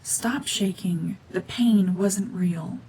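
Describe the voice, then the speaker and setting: A woman acting a cold, domineering mutant witch in an ASMR roleplay drama, hushed and often whispering, with terse, guarded commands. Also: mid-range voice, slow pace, slight background noise, rough recording. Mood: stern. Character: renegade mutant cyborg gorgon witch, female, cold, domineering, slowly softening.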